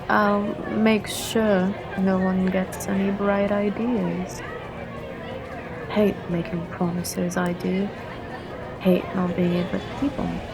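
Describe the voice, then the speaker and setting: Slow-talking roguish assassin played by a woman. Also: medium pitch. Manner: teasing, unhurried, coaxing with old-fashioned turns of phrase. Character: roguish, flirtatious female guild assassin in a fantasy tavern. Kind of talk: ASMR fantasy roleplay. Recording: music and tavern chatter behind.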